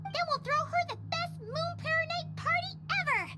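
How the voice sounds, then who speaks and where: A woman voicing a tiny, cheeky and excitable fairy companion girl with a squeaky voice in a video game, over background noise. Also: normal speed, music in the background. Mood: excited.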